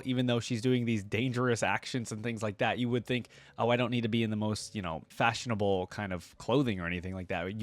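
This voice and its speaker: A man's voice: mid-range voice, no echo. A man hosting a reaction video, commenting casually and thinking aloud in an informal way.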